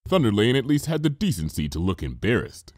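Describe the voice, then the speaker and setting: A man's voice: fairly low pitch, very animated, a little fast, a little mellow, no room sound, studio quality. A man reading fan fiction aloud as a dramatic narrator. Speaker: fanfiction reader. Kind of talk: dramatic scripted story narration.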